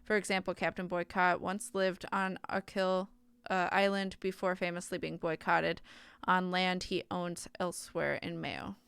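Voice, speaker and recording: A woman's voice: fairly low pitch, level tone, unhurried, creaky, a bit bright, clear, resonant tone, no room sound. A woman streaming a visual novel, reading the text aloud with casual asides and often stumbling and correcting herself.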